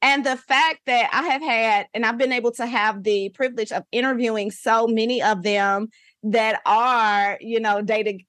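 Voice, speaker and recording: A woman's voice: fairly high pitch, no room sound, clean studio sound. Upbeat woman podcast host. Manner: upbeat, folksy, says "y'all" a lot. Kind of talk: casual podcast interview.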